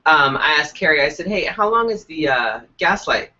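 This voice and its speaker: A stuffed-up, congested man co-hosting a casual live web show, telling a story in a profane, animated way. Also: a little high, normal speed. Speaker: co-host. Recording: dull lo-fi web stream.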